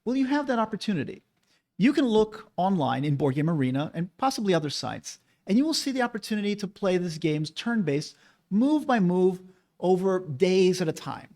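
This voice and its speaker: High-voiced man chatting about games. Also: big pitch swings, moderate pace, a little mellow. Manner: conversational, persuasive, asks rhetorical questions. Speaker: board game channel host. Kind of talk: casual opinion monologue.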